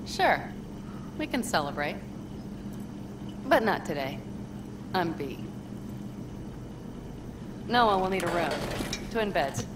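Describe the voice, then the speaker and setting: Game drama role: young nomad woman, lowish voice, tough, plain-spoken and wry, heavy ambient noise. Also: very animated, slow pace, pauses often. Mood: calm, friendly. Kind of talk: naturalistic video game drama acting.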